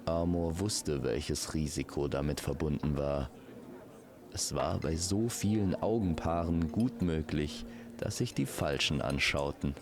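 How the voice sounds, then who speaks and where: A man's voice: a bass voice, measured pace, crowd noise. A man narrating a scripted fantasy story as a storyteller.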